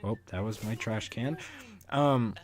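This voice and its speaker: A man giving a casual, unscripted solo commentary as a creator, in a rambling, halting way and often chuckling. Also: fairly low pitch. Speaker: fan dub creator, commentator.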